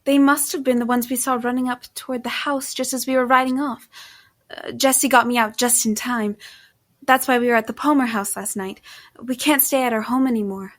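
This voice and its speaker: A woman's voice: fairly high pitch, steady tone, a little fast, lightly acted. A woman playing an earnest, anxious young heroine in a first-person audio drama, with a dramatic delivery. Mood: questioning, concerned. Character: anxious young woman heroine, resourceful, narrating her own mystery.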